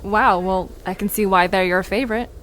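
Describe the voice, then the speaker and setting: A woman's voice: medium pitch, fairly even intonation, normal speed, a bit bright, close to an everyday voice. A woman playing a curious, eager, chatty young visitor in a conversational audio drama. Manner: inquisitive, reactive. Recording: background noise with outdoor ambience.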